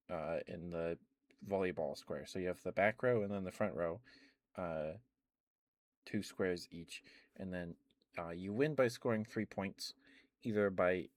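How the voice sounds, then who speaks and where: A man talking softly in an ASMR stream, rambling with many ums and restarts and now and then gushing with enthusiasm. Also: low-pitched, dry recording. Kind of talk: soft-spoken ASMR rambling.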